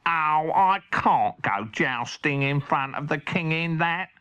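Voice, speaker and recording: A man voicing a fretful male cat who fancies himself a knight, with a drawn-out, complaining delivery in a children's story. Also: a little high, pushed and bright, clean tone, highs cut off.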